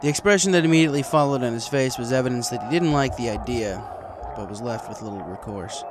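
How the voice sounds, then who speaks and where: A man's voice: medium pitch, quick pace, very noisy. A man reading a story aloud as scripted narration. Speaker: story reader. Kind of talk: scripted narration of fan fiction.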